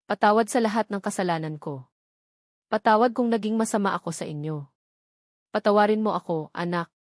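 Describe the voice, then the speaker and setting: A woman's voice: mid-range voice, a little fast, dry recording, studio quality, natural voice. Woman voicing a remorseful old grandfather, pleading. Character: remorseful, tearful old grandfather begging forgiveness.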